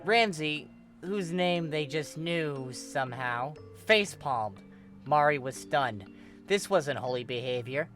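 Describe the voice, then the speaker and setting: A man with a fairly high voice narrates a fan fiction story. His reading is scripted and expressive, with faint music underneath. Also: slow pace.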